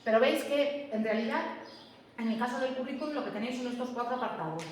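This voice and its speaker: A woman's voice: a little high, fast talker, a little hiss or hum. A woman teacher giving a classroom lecture, explaining step by step and often checking that students follow. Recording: echoey classroom from far off.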